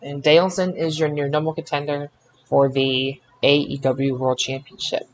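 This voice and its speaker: A man hosting a casual podcast results recap, haltingly, often repeating words as he recalls details. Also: a little high, level tone, poor audio. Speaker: podcast host.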